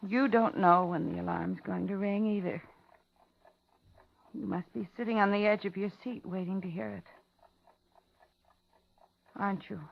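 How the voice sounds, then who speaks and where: An actress playing an ordinary woman held captive, frightened and pleading, in dramatic, deliberate 1950s radio thriller style. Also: mid-range voice, vocal fry. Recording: muffled 1950s radio recording.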